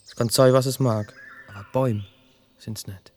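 A man playing a stubborn middle-aged forest local in a radio play, muttering in blunt, halting fragments. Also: mid-range voice, fairly even intonation, a little mellow, lightly acted. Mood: brooding, insistent. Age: middle-aged or older. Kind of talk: naturalistic radio play acting. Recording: outdoor birdsong, faint background noise.

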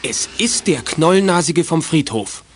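Ein Mann erzählt als Sprecher ein Kinderhörspiel vom Manuskript, mit belegter Stimme. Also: male voice, a little high, animated, a little slow, a little hiss or hum. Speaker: narrator. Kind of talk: scripted children's radio play narration.

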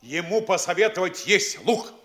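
A man's voice: high voice, normal speed, a bit bright. An actor playing a pompous middle-aged town mayor who lectures and instructs his officials in a radio play. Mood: instructing. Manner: bossy, instructing, mock-scolding. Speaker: town governor. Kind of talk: studio radio play acting.

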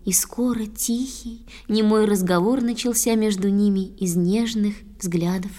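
A woman's voice: medium pitch, steady tone, unhurried, dark tone. A woman narrating a poetic tale for children in tender, scripted verse recitation.

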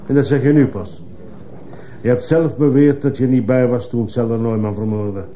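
An actor playing a stern, sceptical judge questioning a witness with authority in a radio drama. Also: male voice, mid-range voice, moderate pace, mellow, natural voice. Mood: stern, commanding. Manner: authoritative, probing. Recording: muffled, slightly noisy old radio recording.

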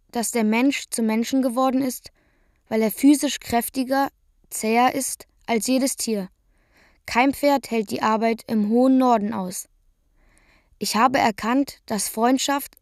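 A woman's voice: fairly high pitch, darkish tone, no room sound, clean studio sound. A woman reading a scripted first-person literary text as a radio drama narrator.

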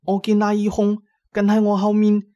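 A man's voice: high-pitched, monotone, normal speed, dark tone, dry recording, studio quality, voice pushed up, lightly acted. A man voicing a shaken, plaintive young sidekick in an adventure audio drama.

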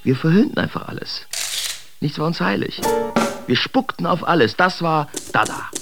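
An actor playing a brash, mocking male Dadaist artist, reciting theatrically in an expressive radio drama. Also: fairly high pitch, moderate pace, gravelly, loud music behind the voice. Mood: defiant, scornful.

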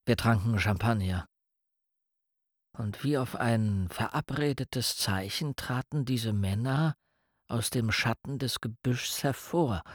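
A man narrating a radio play in the first person, in a measured, reflective way. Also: medium pitch, dry recording, clean studio sound, close to an everyday voice.

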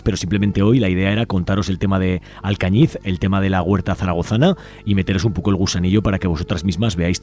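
A man's voice: low-pitched, darkish tone. A man with an accent from Spain hosting community radio, giving informal announcements and addressing listeners directly in a conversational, inviting way. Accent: Spanish from Spain.

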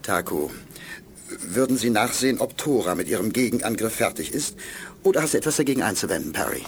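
An actor playing a blunt, excitable and jovial astronaut sidekick in a 1980s German radio play. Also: male voice, fairly low pitch, brisk. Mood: amazed, amused. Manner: blustery, colloquial, exclaiming. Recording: noisy 1980s audio drama recording.

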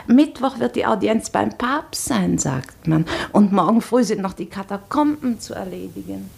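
A woman's voice: medium pitch, expressive, moderate pace, gravelly, darkish tone, a little hiss or hum. An actress playing a chatty, fussy female tourist in a German radio play, busy and solicitous in manner.

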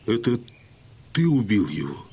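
Husky, animated young Cossack son. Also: male voice, lowish voice, measured pace, full character voice. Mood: shocked, grieving. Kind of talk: dramatic audio drama acting.

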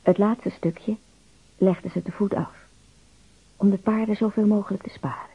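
An actor narrating an adventure tale for a radio play in a husky, creaky voice. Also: male voice, high voice, fairly even intonation, normal speed, heavily acted. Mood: measured, suspenseful. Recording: thin phone-like band-limited sound.

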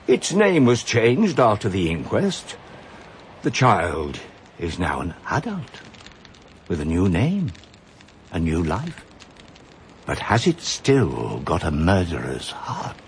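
Old judge in a radio play, low voice, slow and deliberate, faint hiss behind. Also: male voice, wildly swooping pitch, exaggerated voice. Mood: grave. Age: older. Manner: measured, precise, ominous musing. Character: elderly male judge, shrewd storyteller. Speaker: retired judge. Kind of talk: radio drama acting.

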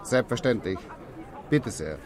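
An actor playing a polite, easygoing refreshment vendor at a station in a radio drama, given to drawn-out calls and small talk. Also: male voice, fairly low pitch, animated, crowd noise. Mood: chatty. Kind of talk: 1960s German radio drama acting.

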